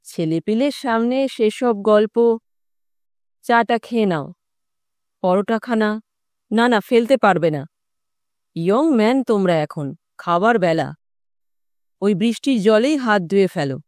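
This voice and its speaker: Female reader as worldly-wise male tantric storyteller, reflective, mid pitch, dry audiobook sound. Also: expressive, measured pace, pauses often, a little mellow, no room sound, natural voice. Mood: hospitable, bossy. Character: male tantric occultist recounting his wandering youth.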